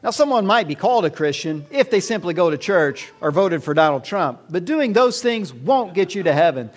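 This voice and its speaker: An upbeat children's quiz show host, played by a man, with a fairly high voice that swings with animation. He announces everything clearly, like a teacher running a game. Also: very animated, normal speed. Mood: upbeat, announcing. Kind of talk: lively game show hosting.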